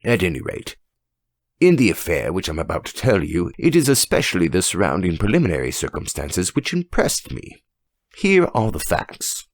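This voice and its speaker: A man voicing a dignified, rational judge telling a story in a grave, measured and formal manner for an audiobook. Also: low-pitched, wildly swooping pitch, dry recording, studio quality, exaggerated voice. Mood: grave, emphatic. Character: dignified, weighty, rational male French judge.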